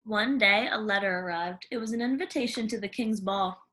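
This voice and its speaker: A woman reading a children's story aloud in an expressive, theatrical way with dramatic pauses. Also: mid-range voice. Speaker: storybook reader.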